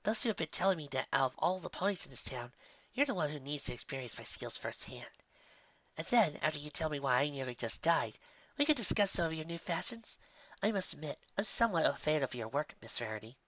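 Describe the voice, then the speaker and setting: A man voicing a polite, flattering male spa-worker pony in a storybook reading, courteous and wordy. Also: on the high side, a little fast, no echo, highs cut off.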